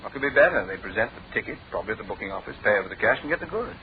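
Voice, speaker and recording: An actor playing an astute, authoritative English detective chief in a classic radio drama, probing in a clipped, incisive way. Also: male voice, on the low side, sing-song, rapid-fire, a crowd in the background. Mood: incisive, assured. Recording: tinny band-limited old radio recording.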